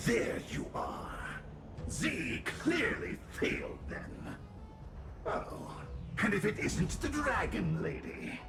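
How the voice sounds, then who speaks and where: A man playing a sneering, menacing villain leading henchmen in a game, taunting in a drawn-out way. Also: high voice, huge pitch swings, echoey room, music in the background, exaggerated voice.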